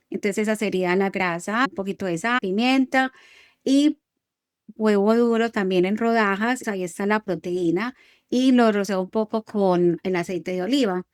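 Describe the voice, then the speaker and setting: Colombian paisa lady chatting on a podcast, mid pitch, dry close sound. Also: dry recording. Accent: Colombian paisa (Antioquia). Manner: chatty, explanatory, laughs easily. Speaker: co-host, nutrition specialist. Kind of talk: casual podcast conversation.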